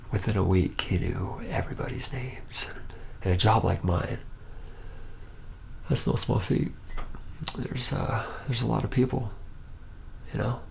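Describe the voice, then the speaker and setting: A man playing a shy, reluctant young vampire in an ASMR roleplay, speaking softly and haltingly with wry, conversational hesitation, often near a whisper. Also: sits low, vocal fry, a little hiss or hum, heavily acted. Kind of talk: ASMR roleplay, soft-spoken rambling confessional.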